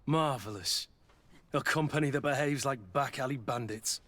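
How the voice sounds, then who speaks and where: An actor playing a gruff, loyal mercenary master of arms in a video game, brooding, plain-spoken and sardonic. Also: male voice, mid-range voice, moderate pace, fairly bright tone, natural voice. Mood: bitter, disgusted. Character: gruff, battle-worn male mercenary master of arms, guilt-ridden, loyal. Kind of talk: video game dialogue acting.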